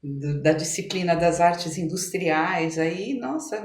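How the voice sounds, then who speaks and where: A woman's voice: on the low side, animated, slow pace. Echoey recording of a Brazilian woman in her sixties. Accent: Brazilian Portuguese. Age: 60s. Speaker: podcast guest. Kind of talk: reminiscing in a conversational interview. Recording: echoey room with clear background noise.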